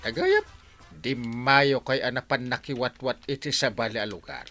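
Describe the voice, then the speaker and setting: A man's voice: mid-range voice, normal speed, sharp tone, soft background music, close to an everyday voice. A man with an Ilocano Filipino accent playing a grumbling village captain in an audio comedy, exclaiming and complaining. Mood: questioning. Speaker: lead actor in comedy drama.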